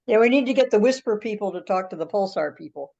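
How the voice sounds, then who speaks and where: A woman's voice: mid-range voice, expressive, quick pace, gravelly, a little mellow. A woman with a mid-range voice talks casually in a technical group discussion. The audio is muffled and lo-fi, as on an online conference call. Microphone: teleconference call.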